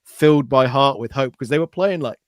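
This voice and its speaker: A Welsh man who grew up speaking Welsh talks casually as a guest, rambling and self-deprecating, with lots of filler words. His voice sits at a middle pitch. It is recorded close and dry, with no room sound. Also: brisk, no echo. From Wales (Anglesey). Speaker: guest, pedal maker. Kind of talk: casual podcast chat.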